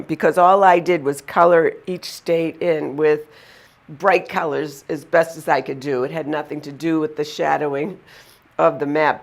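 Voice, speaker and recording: A woman with a Boston accent telling funny personal stories to the camera in a chatty, amused way. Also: fairly low pitch. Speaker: vlogger. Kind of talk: casual storytelling to camera.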